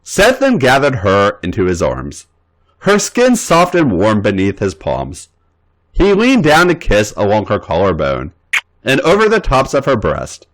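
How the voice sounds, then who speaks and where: A man hosting a podcast, giving a dramatic, expressive reading of an erotic fan fiction story. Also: on the low side, measured pace, poor audio.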